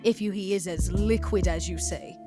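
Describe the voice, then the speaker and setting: A woman voicing an elegant, scheming century-old vampire aristocrat in a tabletop roleplay, with measured, formal, deliberate phrasing. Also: lowish voice, fairly even intonation, over music, lightly acted.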